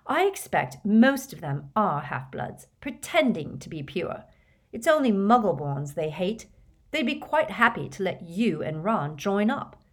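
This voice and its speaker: A woman voicing a clever, stubborn schoolgirl who lectures her friends in an earnest, high voice. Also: very animated, unhurried.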